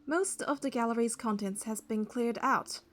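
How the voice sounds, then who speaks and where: High-voiced young woman reading a story. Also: moderate pace. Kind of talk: reading game narration and heroine dialogue aloud.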